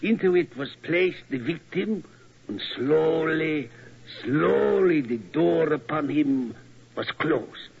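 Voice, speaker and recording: An actor playing a nervous older museum guide in a 1940s radio drama, with a slow, theatrical delivery. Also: male voice, on the high side, expressive, creaky, exaggerated voice. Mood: macabre. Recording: dull old radio recording.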